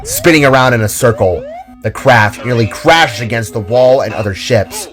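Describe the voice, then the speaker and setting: A man's voice: mid-range voice, normal speed, fairly bright tone, faint music behind. A man narrating a scripted audio drama in a dramatic storytelling manner.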